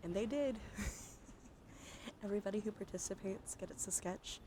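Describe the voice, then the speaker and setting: A woman live streamer chatting casually in an ASMR style, soft-spoken and often slipping into breathy whispers, close to the microphone. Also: mid-range voice, unhurried, noisy background. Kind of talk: casual ASMR livestream chat.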